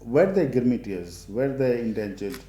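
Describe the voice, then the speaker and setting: A man's voice: medium pitch, animated, moderate pace, dark tone, roomy sound. A man hosting a TV interview show, speaking hesitantly with many fillers. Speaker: TV show host.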